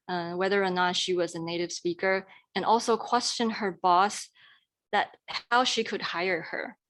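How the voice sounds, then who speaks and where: A woman's voice: mid-range voice, fairly bright tone. Earnest young woman presenting. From Taiwan. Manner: earnest, careful delivery with fillers and restarts. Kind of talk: presentation talk.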